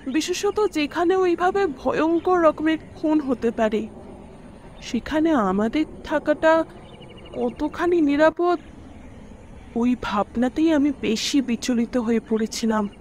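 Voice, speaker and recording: A woman's voice: high voice, takes it slowly, darkish tone, a little hiss or hum. A woman playing an anxious mother in an audio drama, recounting eerie events in an earnest, dramatic way. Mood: anxious, disturbed. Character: worried mother recounting eerie deaths around her son. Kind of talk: Bengali audio story acting.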